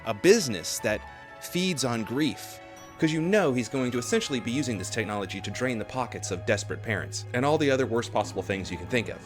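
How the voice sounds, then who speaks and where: A man's voice: mid-range voice, lively intonation, quick pace, over music. A man delivering scripted video-essay commentary with casual asides.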